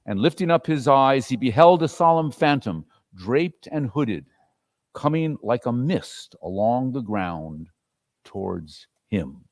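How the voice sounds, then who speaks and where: A man giving an expressive, theatrical dramatic reading of a story as narrator. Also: medium pitch, takes it slowly. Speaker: dramatic reader.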